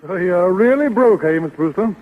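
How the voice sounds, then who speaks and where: Family lawyer, high voice, formal, genial, 1930s radio comedy, phone-like sound. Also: male voice, expressive, fairly quick, faint music behind. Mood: formal, inquiring. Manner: formal, affable. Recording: tinny, narrow old radio broadcast.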